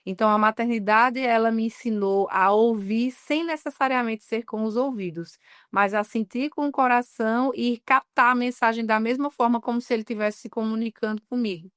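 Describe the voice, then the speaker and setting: A woman journalist from Northeast Brazil talks in an earnest, reflective way and stretches out the words that matter. Her voice is smooth and clear. The sound is dry and close. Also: a little high, steady tone, fairly bright tone, no room sound. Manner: earnest, slows down on key words. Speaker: journalist guest. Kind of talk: reflective interview talk.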